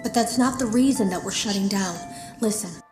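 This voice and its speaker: A woman's voice: medium pitch, a little fast, lightly acted. A woman playing an anxious game developer recording secret audio logs for a horror game, earnest, uneasy and confiding. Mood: urgent warning. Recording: roomy echo, music behind.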